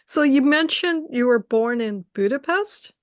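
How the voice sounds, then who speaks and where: A woman's voice: high voice, expressive, unhurried, no echo, studio quality. A woman from Tanzania, raised in Canada, hosting an interview with frequent short affirmations. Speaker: interview host.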